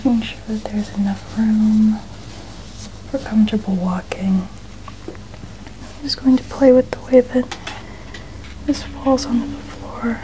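A young woman whispering softly and breathily in an ASMR styling roleplay, gently narrating small actions as a stylist. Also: on the high side, fairly even intonation, slow, long pauses, slight background noise, rough recording. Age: young adult. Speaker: ASMR host playing a dress stylist. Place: small indoor studio room. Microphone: small mic clipped at chest.

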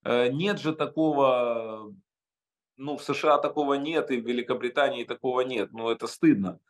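A man's voice: mid-range voice, moderate pace. Emphatic Ukrainian man on a video call. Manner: emphatic, frequent hesitations. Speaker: interview guest. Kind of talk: interview answers. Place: home study, indoors.

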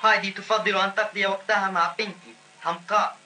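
A man's voice: sits high, level tone, fast, music in the background, close to an everyday voice. A voice actor playing a sulky young goatherd boy in a dubbed cartoon, grumbling and impatient.

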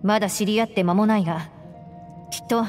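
Cool young female deputy, voiced by an actress, mid-pitched, matter-of-fact, plain-spoken, anime game, music behind. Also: a little fast, darkish tone, natural voice. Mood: reflective. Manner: matter-of-fact, explaining in blunt plain speech. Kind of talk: anime-style game voice acting.

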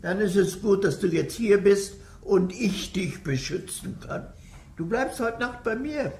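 An actress playing a suspicious, commanding, bedridden old lady in a radio play, ominous and halting. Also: mid-range voice, expressive, a little mellow. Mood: possessive, scornful. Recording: roomy, with noticeable background noise.

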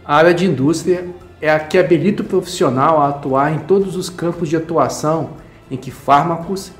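A man's voice: on the high side, brisk, reverberant, faint music behind. A man explaining a field of work to camera, listing items in a didactic way.

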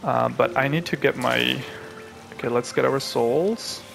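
A young man from Pakistan, hosting a gaming channel, who chats to viewers and thinks aloud as he plays, with excitable reactions. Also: medium pitch, unhurried, creaky, over music. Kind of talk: live gameplay commentary.

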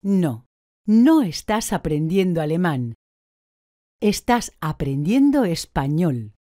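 A woman answers drill questions very slowly, with a mellow, lowish voice and lively rises and falls in pitch. Every word is carefully articulated. The recording is close and dry. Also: no echo. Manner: slow, deliberate, clearly articulated answers. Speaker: language course speaker.

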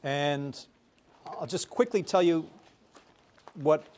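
Middle-aged professor teaching a class. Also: male voice, a little high, slow, darkish tone. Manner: explains step by step, conversational. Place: tiered university classroom.

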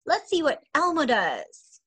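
A woman in her forties, a preschool teacher, reading a picture book aloud to young children in an expressive, sing-song manner. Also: high-pitched, unhurried, rough recording. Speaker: preschool teacher and story time host. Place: small indoor room at home.

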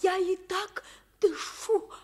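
Earnest, very high-voiced young schoolboy. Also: female voice, fairly even intonation, slow pace, a little mellow. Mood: pained, pleading. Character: earnest schoolboy of about ten, adventurous, easily alarmed. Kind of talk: Soviet children's radio play narration and acting.

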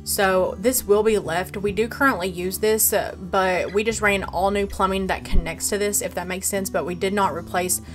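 A woman's voice: medium pitch, fairly even intonation, normal speed, hardly any pauses, soft background music. A woman vlogger giving a casual walkthrough of her farmhouse renovation, explaining her plans step by step, filmed on a handheld phone in an empty room.

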